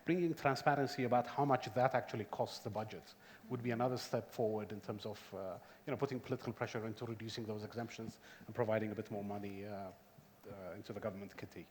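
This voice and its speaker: A man's voice: fairly low pitch, fairly even intonation, fast talker, a little mellow. A man on a discussion panel, speaking hesitantly and searching for his phrasing. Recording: reverberant hall, faint background noise.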